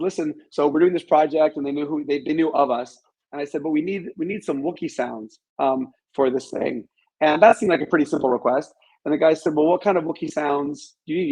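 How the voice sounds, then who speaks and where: A man's voice: a little high, darkish tone, breathy edge, poor audio. Male interview guest, author type, modest and reflective, conversational storyteller.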